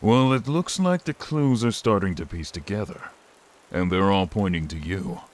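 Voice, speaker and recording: Man acting deep smug fantasy interrogator. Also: expressive, normal speed. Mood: smug, taunting. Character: smug, mocking fantasy hero interrogating a suspect.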